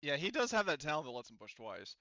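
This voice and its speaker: A man refereeing a casual tabletop game as game master, halting and thinking aloud as he talks. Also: a little high, very animated, bright, forceful tone, no room sound, highs cut off.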